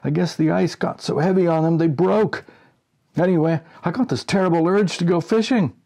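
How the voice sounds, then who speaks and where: A man as an excitable, high-pitched fishing buddy. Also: normal speed, gravelly. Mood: eager, shouting. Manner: shouting over the phone, excitable. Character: eager, fishing-mad male buddy on phone.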